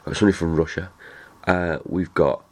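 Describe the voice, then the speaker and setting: Low-voiced man, nasal with a cold. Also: fairly even intonation, fast talker, husky. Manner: rambling, chatty, many restarts. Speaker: podcast host. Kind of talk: casual chat.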